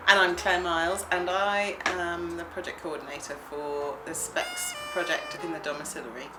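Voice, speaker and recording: A woman's voice: lowish voice, fairly even intonation, moderate pace, echoey room, music in the background, poor audio. A woman, a project coordinator, explaining a storytelling project earnestly in a documentary interview.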